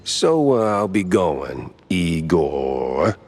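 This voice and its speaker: Gravelly, low-voiced hardboiled mouse detective. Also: male voice, drawn-out words, heavily acted. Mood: sardonic. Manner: sardonic, gruff, deadpan. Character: world-weary wisecracking hardboiled mouse private detective. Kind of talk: noir game cutscene acting.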